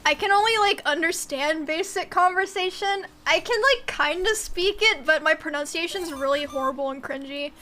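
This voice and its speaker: A woman's voice: very high voice, normal speed, hardly any pauses, sharp tone. A young woman in her twenties whose first language was Mandarin, chatting casually on a game stream, giggling and filling her talk with "like" as she reads chat aloud.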